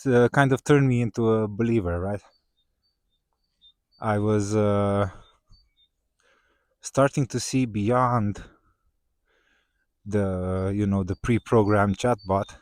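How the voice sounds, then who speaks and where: A man whose first language is Romanian talks to his viewers in a relaxed, conversational way, full of small hesitations and "right?" check-ins. His voice sits on the low side. The sound is dry and close, with no room around it. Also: no room sound. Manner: conversational, many "uh" fillers and "right?" tags, rising when excited. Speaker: vlogger. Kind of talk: casual talk to camera.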